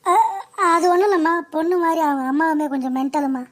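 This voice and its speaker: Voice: high-pitched, quick pace, fairly bright tone. A performer voicing a sly young bridegroom in a cartoon comedy, glib and evasive, forever making excuses.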